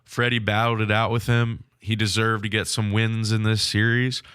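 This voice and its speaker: Nasal man with a cold, low voice. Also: level tone, a bit bright, clear, resonant tone, no echo, studio quality. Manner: frank, measured hockey analysis. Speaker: podcast host. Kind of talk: solo sports podcast recap.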